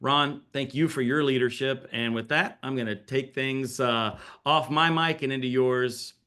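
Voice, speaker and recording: A man's voice: mid-range voice, fairly even intonation, a little slow, bright, forceful tone, smooth. A man hosting an online information session as regions director, talking in a friendly, chatty way with small fillers and self-corrections. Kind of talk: casual scripted welcome on a video call. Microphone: video call.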